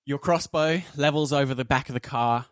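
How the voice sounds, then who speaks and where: A man's voice: mid-range voice, fairly quick, very noisy. A man narrating a tabletop game as game master, setting scenes dramatically.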